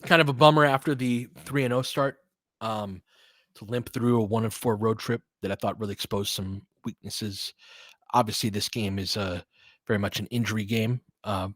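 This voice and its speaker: A man's voice: on the low side, steady tone, normal speed, fairly bright tone, no echo, clean studio sound. A man doing casual live basketball play-by-play, talking conversationally and adding his own opinions as he goes. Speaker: play-by-play commentator and host. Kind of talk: casual live basketball commentary with fan chat.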